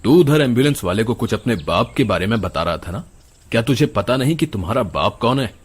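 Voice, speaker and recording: A man playing the stern, righteous, caring ghost of a dead doctor in an animated moral story, speaking in an earnest, scolding way. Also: mid-range voice, fairly quick, slightly rough. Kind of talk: animated Hindi moral horror story acting. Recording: music behind the voice.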